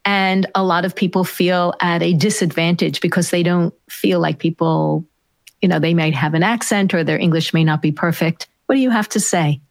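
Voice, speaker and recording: A woman hosting an interview, asking measured questions and giving brief affirming reactions. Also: fairly low pitch, fairly even intonation, no room sound. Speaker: podcast host.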